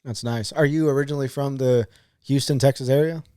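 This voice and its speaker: Man, podcast host, casual interview, halting restarts, mid-pitched, dry close sound. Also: steady tone, mellow, clean tone, dry recording.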